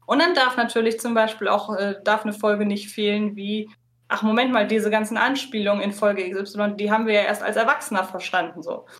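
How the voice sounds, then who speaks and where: A woman's voice: medium pitch, steady tone, fairly quick, slight background noise. A woman chatting casually as a podcast guest, talking in a chatty, enthusiastic way. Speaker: podcast guest, fan podcast co-host. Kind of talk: casual interview chat.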